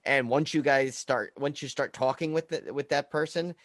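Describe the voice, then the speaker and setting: Male podcast host, medium pitch, dry sound, friendly, agreeable, casual. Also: moderate pace, fairly bright tone, no room sound. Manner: friendly, agreeable, repeats himself. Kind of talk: casual chat.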